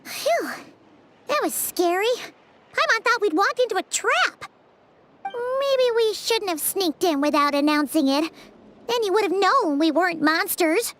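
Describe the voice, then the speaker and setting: A woman voicing a small, childlike fairy companion in an anime-style video game, chirpy, blunt and excitable, with a squeaky voice. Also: a little slow, a little hiss or hum, exaggerated voice. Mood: relieved. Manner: refers to self in third person, exclamatory.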